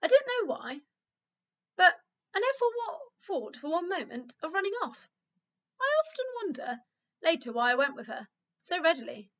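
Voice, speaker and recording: A woman reads a children's book aloud like a teacher to her class. Her high, bright voice moves up and down expressively, and the recording is a little dull. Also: very animated, normal speed, long pauses. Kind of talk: expressive children's storybook reading.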